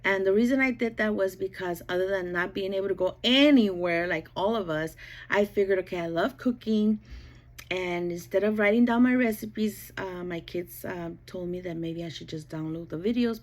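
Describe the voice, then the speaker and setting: A woman's voice: on the low side, darkish tone. A middle-aged woman from El Paso, Texas, bilingual in Spanish and English since childhood, casually introducing herself to viewers in a chatty, rambling way. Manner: chatty, rambling, often checking in with "okay" and "you know". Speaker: YouTube cooking channel host.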